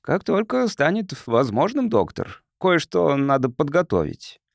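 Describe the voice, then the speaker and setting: A man's voice: a little high, big pitch swings, a little slow, vocal fry, no room sound, studio quality, pitched above the performer's own voice, full character voice. Guarded, formal councillor, read by a man. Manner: formal, explanatory. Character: proud, guarded male planetoid councillor, stiffly formal. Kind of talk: audiobook character reading.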